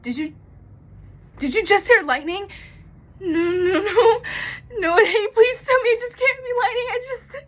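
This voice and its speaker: A woman performing a theatrical monologue as an anxious, fearful young woman, confiding haltingly with dramatic pauses. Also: mid-range voice, a bit bright, breathy edge. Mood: panicked, tearful. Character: anxious young woman confessing a terror of thunderstorms.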